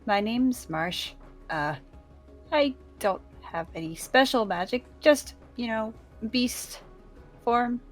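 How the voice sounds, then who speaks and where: A shy beast-form mage, voiced by a woman, with a medium-pitched voice. The delivery is slow, tentative and modest, in a fantasy roleplay over faint music. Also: animated. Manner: unassuming, tentative.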